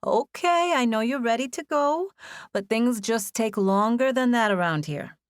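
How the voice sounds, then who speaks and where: A woman's voice: on the high side, expressive, dry recording, studio quality, voice pushed up. A woman doing a chatty Boston nurse. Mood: cheerful, bossy. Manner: brisk, upbeat and talkative. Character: chatty female hospital nurse.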